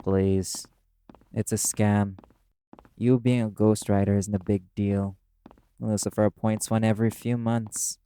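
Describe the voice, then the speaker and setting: Man as a brash demon, lowish pitch, dark mellow tone, terse, scornful, commanding, guarded, audio roleplay. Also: flat delivery, a little slow, a little hiss or hum. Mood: impatient, scornful. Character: brash, proud, curt demon, prickly and guarded.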